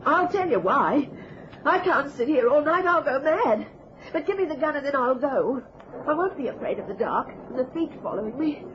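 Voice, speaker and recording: A woman's voice: sits high, lively intonation, moderate pace. An actress playing an agitated, suspicious old woman who pleads and demands in an old radio thriller. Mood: menacing, agitated. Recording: noisy, dull old radio recording.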